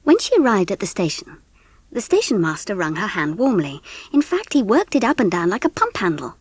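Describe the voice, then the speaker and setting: An English woman narrates a storybook in an expressive, measured way. Her mid-range voice moves with lively intonation, and the recording is close and dry. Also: medium pitch, slightly rough, no echo. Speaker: audiobook narrator.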